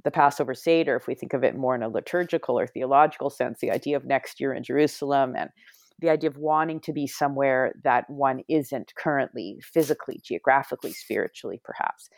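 Middle-aged woman author, reflective, halting interview answers, dry close mic. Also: a bass voice, no room sound. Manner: reflective, many restarts and hedged pauses.

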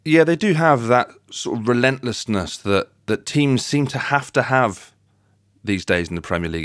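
A man's voice: fairly low pitch, dry recording, studio quality. A man hosting a football podcast, chatting casually and conversationally, often putting leading questions to his co-host.

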